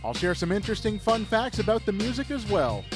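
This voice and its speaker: A man's voice: sits high, very animated, fairly quick. A man with an American accent reading scripted radio promos and ads. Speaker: radio announcer. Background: music.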